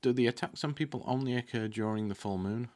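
A man's voice: mid-range voice, quick pace, darkish tone. A man in his thirties reading a fantasy novel aloud, now and then stumbling on a word and correcting himself. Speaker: book reader. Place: indoor room with curtains, at a desk. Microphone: desktop microphone close in front.

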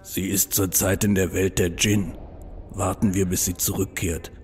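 A man's voice: low voice, little pitch movement, measured pace, faint music behind. An actor playing a big, loyal male troll guard in a fantasy audio drama, with a weighty delivery. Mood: calm, steady. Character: big deep-voiced male troll guard, loyal husband, plain-spoken.

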